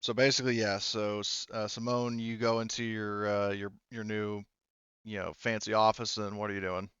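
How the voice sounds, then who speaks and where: A man's voice: on the low side, flat delivery, moderate pace, fairly bright tone, clean tone, no room sound, dull audio. A man casually narrating an online tabletop game as game master, hesitant and rambling, with many false starts.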